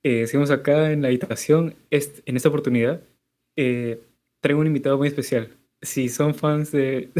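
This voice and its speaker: Mellow-voiced man hosting a podcast. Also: medium pitch. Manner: upbeat, playful introductions. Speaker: podcast host.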